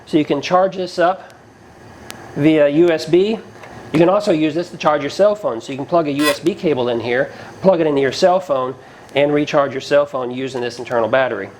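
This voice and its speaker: A man's voice: fairly high pitch, fairly quick, a little mellow, a little hiss or hum, low-quality recording. A man giving a casual, plainspoken product review to camera, explaining features as he goes, recorded inside a garage. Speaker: product reviewer, channel host. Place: inside a cinder-block garage.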